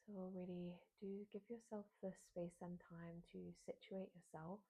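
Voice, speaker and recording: A woman guiding a beginners' meditation in a soft, breathy voice, with soothing phrasing and frequent pauses. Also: fairly low pitch, fairly even intonation. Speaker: meditation guide, yoga teacher.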